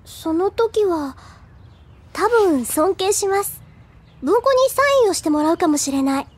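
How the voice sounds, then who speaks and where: A woman's voice: very high voice, animated, measured pace. A woman playing an earnest, deferential younger schoolgirl in an audio drama, polite, sweet and a little timid. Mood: earnest, determined. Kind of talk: Japanese audio drama acting.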